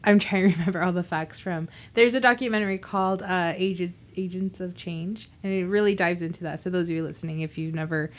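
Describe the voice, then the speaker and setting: A woman's voice: lowish voice, fairly even intonation, quick pace, few pauses. A young woman, sick at the moment, chatting on a podcast and often searching for her words. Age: young adult. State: sick, under the weather. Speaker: podcast co-host. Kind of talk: casual chat.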